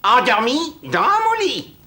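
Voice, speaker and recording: A woman voicing a small, stubborn, grumbling male cartoon dwarf with a gravelly voice. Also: fairly high pitch, wildly swooping pitch, slow, sharp tone, a little hiss or hum, full character voice. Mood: outraged. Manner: nagging, hesitant, repeats himself. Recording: music under the voice.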